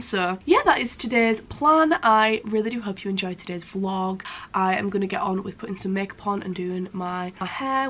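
A young woman, chatting casually to camera in her bedroom, slightly croaky from a cold and full of "like" fillers. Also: medium pitch, a bit bright, a little hiss or hum. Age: young adult. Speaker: vlogger. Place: bedroom at home, indoors. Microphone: camera in front at close range.

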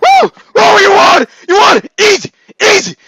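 A man voicing a hyped-up, taunting shooter-game announcer, bombastic and over-excited, in shouted voice lines. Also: very high voice, measured pace, gravelly, dry recording, pitched above the performer's own voice, heavily acted. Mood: hyped triumphant.

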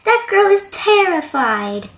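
A woman voicing a kindly, patient female stable hand, polite and gentle, in a children's role-play story. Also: very high-pitched, very animated, slow, creaky, full character voice.

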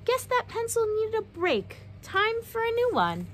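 A woman's voice: very high voice, wildly swooping pitch, measured pace, fairly bright tone, a little hiss or hum, pitched above the performer's own voice, heavily acted. A woman voicing an emotional little cartoon ninja girl from a storybook, exclaiming dramatically. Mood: upbeat. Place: outdoors on a wooden backyard deck.

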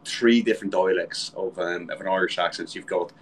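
A man's voice: low-pitched, fairly even intonation, fairly quick, a little hiss or hum. A man, a voice actor, chatting casually on a video call from a home room and swearing now and then. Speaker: voice actor guest. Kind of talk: casual chat. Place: home room with shelves, indoors.

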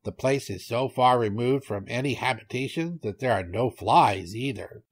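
A man's voice: medium pitch, moderate pace, slightly rough. A man voicing a small, sly trickster rabbit in a storybook, speaking matter-of-factly as if innocent. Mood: pleased. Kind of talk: storybook character voice.

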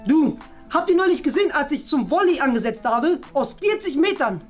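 Dim jock pupil played by a man, very high for a male, bragging casually, comedy sketch, faint music. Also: very animated. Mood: boastful, carefree. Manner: casual, cocky, drawn-out bragging. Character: boastful, carefree, dim sporty male slacker pupil. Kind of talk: scripted comedy sketch acting.

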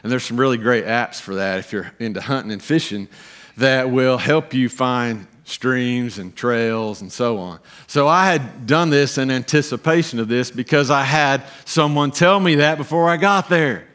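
A middle-aged man preaching a sermon as pastor on a church stage, animated, with pauses and emphatic rises. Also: medium pitch.

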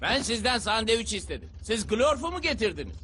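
A man voicing an excitable, blustering young slacker who pilots a giant robot in a dubbed cartoon. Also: sits high, brisk, background noise. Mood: casual. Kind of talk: animated cartoon dub acting. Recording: loud music behind the voice. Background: loud music.

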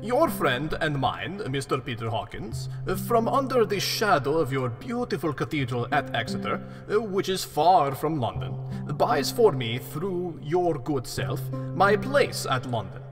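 An actor playing a courteous, commanding old vampire count in a radio play, speaking formally with deliberate, halting phrasing. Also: male voice, fairly high pitch, very animated. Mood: courteous, calculating. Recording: long hall-like reverb, music underneath. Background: plucked guitar music.

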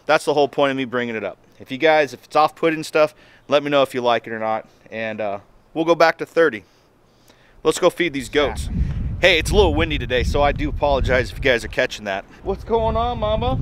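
A man's voice: mid-range voice, a bit bright. A man in his thirties with an American accent, chatting casually to camera in a folksy, colloquial way as a homestead vlogger. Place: outdoors in a yard and in a garage. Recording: background noise, wind outdoors, birds.

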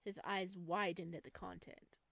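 A woman's voice: on the low side, level tone, normal speed, gravelly, a bit bright, no echo. A woman reading visual novel narration aloud expressively, as a gaming video host.

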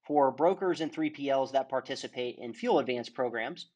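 Guy doing a software demo voiceover, steady explainer tone, mid pitch. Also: moderate pace. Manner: steady, explanatory sales presenter. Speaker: product demonstrator.

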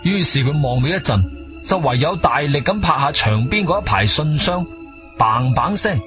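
A male radio drama narrator with a Guangzhou Cantonese accent. His voice sits a little high and he tells the tale conversationally, with interjections, over clear background music. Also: animated, normal speed. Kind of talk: animated radio drama storytelling. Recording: music bed behind studio voice.

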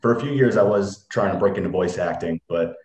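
A man's voice: deep voice, brisk, a little mellow. A man in his thirties speaking over a laptop video call from a bare room, as narrator of a script. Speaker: reader of stage directions. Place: small bare room indoors. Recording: roomy, echoey video call.

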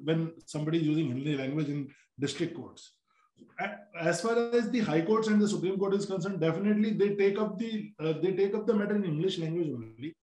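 An Indian man speaking as a panelist in a video call discussion, explaining at a measured pace with frequent restarts and fillers. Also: high-pitched, darkish tone. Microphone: laptop on a video call. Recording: roomy video call audio.

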